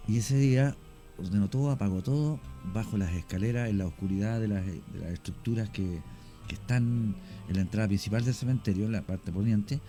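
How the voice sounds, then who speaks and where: A man, a radio guest slowly telling a paranormal story with many pauses. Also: lowish voice, mellow, faint music behind. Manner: slow, halting storyteller. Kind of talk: radio talk show storytelling.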